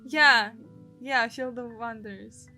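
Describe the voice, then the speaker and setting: A woman's voice: fairly high pitch, takes it slowly, bright, forceful tone, soft background music. A woman from Russia with a Russian accent, a streamer expressively reading and translating a game's story aloud in English. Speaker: gaming streamer. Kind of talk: live read-aloud translation of game dialogue with narration.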